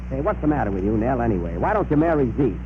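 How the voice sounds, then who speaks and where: A man's voice: medium pitch, big pitch swings, rapid-fire, lightly acted. An actor playing a grumbling rural father in an old radio comedy, folksy, dry and exasperated. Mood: folksy, matter-of-fact. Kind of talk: 1930s radio comedy acting. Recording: noisy muffled old radio recording.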